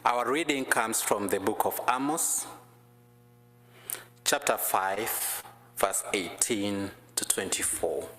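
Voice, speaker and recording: A man preaching and praying with slow, careful phrasing; English is not his first language. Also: on the low side, pushed and bright. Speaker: guest preacher. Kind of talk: prayer and sermon. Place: church sanctuary. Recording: echoey church hall.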